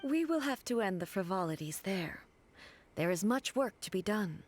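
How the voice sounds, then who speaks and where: A woman's voice: medium pitch, very animated, moderate pace, slight background noise, natural voice. An actress playing a solemn, dignified female ruler in a video game, speaking formally and with restraint. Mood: formal, resolute. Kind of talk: video game dialogue acting. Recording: game music behind the voice. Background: game background music.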